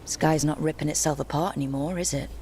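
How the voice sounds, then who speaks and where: A woman's voice: deep voice, fairly even intonation, fairly quick, slight background noise, lightly acted. A woman playing a resolute adventurer who leads a fantasy party in a video game, speaking firmly and decisively. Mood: defiant. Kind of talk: video game cutscene acting. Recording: loud music behind the voice.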